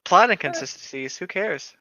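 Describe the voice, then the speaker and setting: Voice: mid-range voice, animated, moderate pace, bright, forceful tone, no echo. A speaker reading aloud casually, irreverent and riffing, breaking off into joking asides, on a slightly muffled microphone. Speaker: co-host of a creepypasta read-along.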